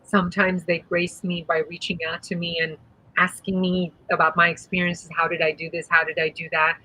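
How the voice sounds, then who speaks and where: Panel guest: woman from Iran, Persian native speaker, lowish pitch, punchy and expressive, video-call audio. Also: brisk, poor audio. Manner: expressive, sassy, earnest. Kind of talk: candid panel conversation. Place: home room.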